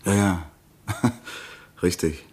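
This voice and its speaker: Male suspect, radio crime drama: low, husky voice, defensive, halting, slippery. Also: steady tone, darkish tone, heavily acted. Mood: evasive. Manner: halting, evasive. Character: evasive, defensive husband under police questioning.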